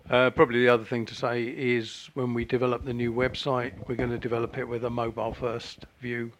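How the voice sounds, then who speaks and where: A man on the panel of a public meeting, answering in a steady, reassuring way. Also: medium pitch, a little fast, slight background noise. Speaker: organizer on the panel. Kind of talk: meeting discussion.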